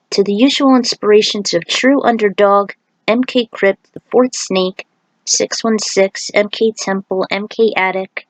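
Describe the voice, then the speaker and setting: A woman's voice: medium pitch, no room sound, low-quality recording. A woman reading scripted narration as the author and narrator of her own fan fiction series. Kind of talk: scripted narration with casual outro remarks.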